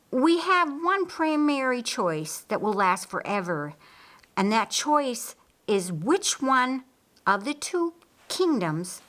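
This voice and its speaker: A woman voicing an earnest male pupil in an audiobook, reasoning aloud in a halting, thoughtful, searching way. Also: on the high side, fairly bright tone, pitched above the performer's own voice. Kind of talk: audiobook character dialogue.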